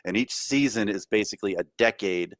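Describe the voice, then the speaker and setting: A man's voice: on the low side, a little fast, dry recording, clean studio sound. A man co-hosting a casual podcast, rambling with lots of fillers and restarts. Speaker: podcast co-host.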